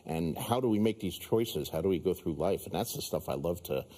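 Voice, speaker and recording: Deep, dark-voiced man speaking thoughtfully. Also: expressive, brisk. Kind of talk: reflective talk.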